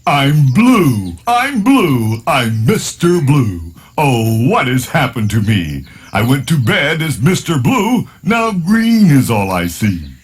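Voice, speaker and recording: A man voicing a distressed, excitable storybook color character, plaintive and exclaiming in a sing-song way, with a husky, strained voice. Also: medium pitch, slow, hardly any pauses, exaggerated voice. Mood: plaintive protest. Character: distressed Mister Blue, a color character turned green, excitable male.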